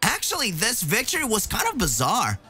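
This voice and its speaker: An excitable young male fighter, as a man puts him on, pitched very high and boyish. The delivery is bright and jumps around a lot. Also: fairly quick, husky, dry recording, faint music behind, heavily acted. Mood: excited.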